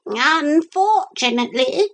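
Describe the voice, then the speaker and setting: A woman's voice: sits high, lively intonation, takes it slowly, voice pushed up, exaggerated voice. A woman voicing a prim, worldly-wise talking parrot in a children's story, with formal, precise pronouncements. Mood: grave, resigned.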